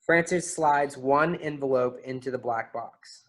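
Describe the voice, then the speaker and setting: A man's voice: medium pitch, a little slow, lightly acted. A man reading out stage directions as scripted narration for a play reading.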